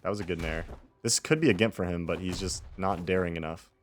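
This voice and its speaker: A man with a low voice commentates his own video game replay. He speaks casually and off the cuff, rambling through his thoughts with wry self-deprecation. Music plays clearly behind his voice. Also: quick pace.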